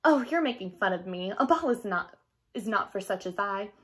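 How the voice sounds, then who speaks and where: A woman voicing a sweet, meek, wistful young heroine in a storybook, speaking timidly and haltingly. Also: mid-range voice, animated, a bit bright, lightly acted. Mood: resigned.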